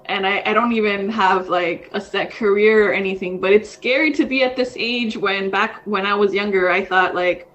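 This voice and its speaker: A woman's voice: medium pitch, steady tone, normal speed, hardly any pauses, a bit bright, muffled recording. Rambling thirty-something woman, casual chat. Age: 30s. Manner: rambling, frequent fillers, says dude. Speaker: podcast co-host.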